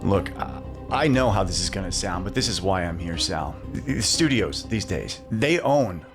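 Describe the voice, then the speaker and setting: A deep-voiced man acting as a haunted former child star in an audio thriller interview. He sounds defensive and insistent, halting as he pleads his case. Music runs clearly underneath. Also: lively intonation. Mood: desperate, insistent. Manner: halting, agitated, earnest. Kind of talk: dramatic acted interview, naturalistic.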